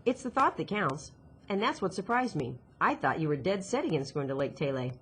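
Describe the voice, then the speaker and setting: A woman's voice: on the low side, sing-song, fairly quick, a bit bright, husky, exaggerated voice. A woman voicing a chatty, upbeat, teasing boy with a caring side in a storybook reading. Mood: earnest.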